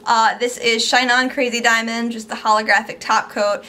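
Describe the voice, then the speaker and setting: A young woman chatting casually and gushing over her purchases in a haul video, her voice a little squeaky from overuse. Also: level tone, moderate pace, bright, forceful tone. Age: young adult. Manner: chatty, upbeat, gushing about products. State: losing her voice, slightly squeaky. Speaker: beauty vlogger. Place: small indoor room at home.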